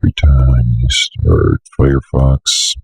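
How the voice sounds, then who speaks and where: A man's voice: rumbling low, animated, a little slow, vocal fry, darkish tone, husky, no echo, soft background music. A young man giving a casual screen-share tutorial, rambling and thinking aloud as he works. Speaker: tutorial presenter. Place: indoors at a computer desk.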